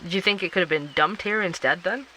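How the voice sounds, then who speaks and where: A man's voice: high voice, quick pace, bright, forceful tone, a little hiss or hum, rough recording. An actor playing a curious male investigative podcaster, who narrates and probes with questions. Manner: inquisitive, probing.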